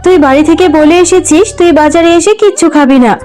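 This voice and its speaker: A woman's voice: very high voice, quick pace, a little mellow, faint music behind. A woman voicing a fretful, chiding animal mother in a children's cartoon. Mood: scolding. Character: poor, scolding but soft-hearted young cartoon animal mother. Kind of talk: children's cartoon dub in Bengali.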